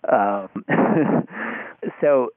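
A man speaking as an interview guest in a thoughtful, halting way, often restarting his sentences. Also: mid-range voice, dry recording. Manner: hesitant, halting, with repeated false starts. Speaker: podcast guest, media critic. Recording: phone-like, thin band-limited line.

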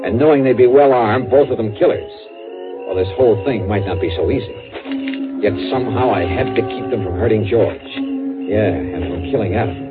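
An actor playing a tough, wry investigator who leads and narrates a 1950s hard-boiled radio drama in a clipped, urgent manner. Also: male voice, fairly low pitch, fairly quick, narrow, tinny audio, lightly acted. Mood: tense narration. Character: tough, brisk, wry male insurance investigator. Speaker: detective lead and narrator. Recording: thin, band-limited 1950s radio recording. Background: dramatic music.

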